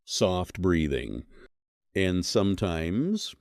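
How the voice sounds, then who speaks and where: A man with a low, deep voice narrates a scripted horror mystery. He builds suspense with measured, short dramatic phrases. The sound is dry and close, with hardly any room on it. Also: very animated, darkish tone, no echo.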